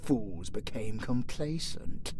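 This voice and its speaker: A British man voicing a gleeful, darkly flirtatious undead necromancer villain in a theatrical audio roleplay, swinging between hushed murmurs and shrill outbursts. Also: lively intonation, takes it slowly. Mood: teasing. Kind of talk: dark comedic audio roleplay acting.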